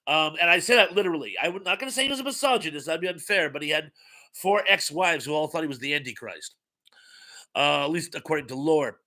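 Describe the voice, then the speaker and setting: A man's voice: on the high side, fast talker, bright, forceful tone. A man hosting a casual livestream, reading viewer comments aloud and rambling with wry asides, his voice getting worn out. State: losing his voice. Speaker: livestream host reading chat.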